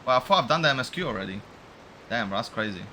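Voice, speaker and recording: A man's voice: mid-range voice, lively intonation, very fast, bright, forceful tone, a little hiss or hum. A man giving casual, joking game commentary with slang and swearing, sometimes reading dialogue aloud. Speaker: game streamer.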